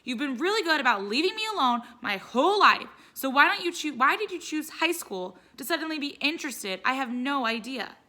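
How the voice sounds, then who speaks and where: A woman's voice: high-pitched, sing-song, fairly quick, few pauses, pushed and bright, pitched above the performer's own voice, heavily acted. A woman voicing a moody, exasperated teenage girl in a storybook, screamy and defiant. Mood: angry, defiant.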